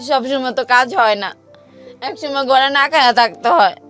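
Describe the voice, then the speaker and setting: A woman's voice: sits high, moderate pace, fairly bright tone, soft background music. A woman with a Bangladeshi accent telling her own life story in emotional, colloquial speech, reenacting the exchanges as she goes.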